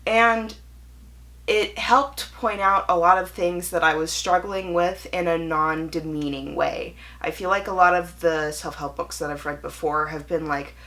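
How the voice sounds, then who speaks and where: Low-pitched young woman, chatty and candid. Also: steady tone, measured pace, a bit bright. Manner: conversational and candid, self-correcting, mimics imagined voices. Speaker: book reviewer and video host. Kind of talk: casual chatty vlog monologue.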